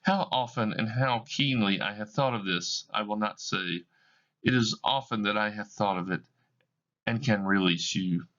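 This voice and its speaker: A man's voice: lowish voice, normal speed, fairly bright tone, muffled recording, pitched above the performer's own voice, lightly acted. A man voicing a grieving young woman who speaks to her former love with bitterness and reproach. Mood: reproachful.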